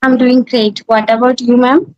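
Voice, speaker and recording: A woman's voice: a little high, flat delivery, moderate pace, a little mellow, dull audio. A woman from West Bengal with a Bengali Indian accent, practising conversation as an English learner in simple, non-fluent phrasing.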